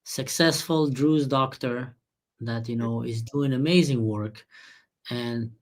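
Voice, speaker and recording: A man's voice: mid-range voice, takes it slowly. Earnest male interviewer from Israel. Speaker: interviewer, host. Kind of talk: casual interview conversation.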